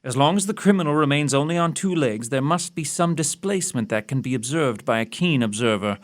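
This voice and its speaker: A man playing a keen, self-assured gentleman detective in an audio theatre mystery, speaking precisely and formally with a dry wit. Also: fairly high pitch, animated, brisk, natural voice. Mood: brisk, absorbed.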